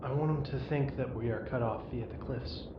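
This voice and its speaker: Man acting a muffled deep-sea submarine captain in rumbling noise. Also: brisk. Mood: eager, confiding. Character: enthusiastic male deep-sea submersible captain, easygoing explorer. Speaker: voice actor in an analog horror audio drama. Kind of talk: dramatic horror voice acting. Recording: muffled, distant, echoey, very noisy rumble.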